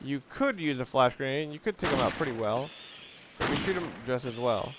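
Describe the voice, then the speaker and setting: A man's voice: medium pitch, brisk. Man doing gameplay commentary, noisy recording. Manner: excitable, swears casually. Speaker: video game commentator. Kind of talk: casual let's play gameplay commentary. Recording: clearly noisy home recording.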